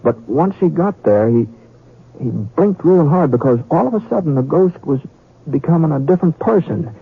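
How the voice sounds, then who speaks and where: A man playing a folksy Western storyteller narrating an old-time radio drama in a halting, conversational way. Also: a little high, animated, creaky, slightly rough, full character voice. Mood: folksy reminiscing. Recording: muffled vintage radio, faint music.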